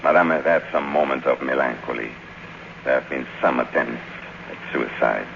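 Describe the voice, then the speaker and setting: An actor playing a grave, protective manservant with formal, deferential speech in a 1950s radio drama. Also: male voice, very deep voice, steady tone, normal speed, creaky. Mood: solemn, guarded. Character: solemn, protective, formal manservant to a faded star. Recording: dull, noisy 1950s radio recording.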